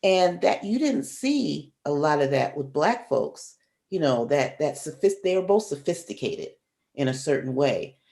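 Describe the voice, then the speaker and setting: A woman's voice: low voice, unhurried, gravelly. Proper-speaking woman guest from Chicago. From Chicago, US Midwest. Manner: proper, careful speech, animated storytelling. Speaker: podcast guest, author. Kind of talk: casual chat.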